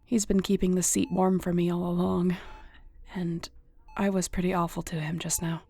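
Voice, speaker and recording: Woman acting as a gruff werewolf alpha lady, low mellow voice with some fry, dry and guarded, audio drama. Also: flat delivery, moderate pace. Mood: tender, wry. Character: surly young female werewolf alpha, guarded, fiercely protective.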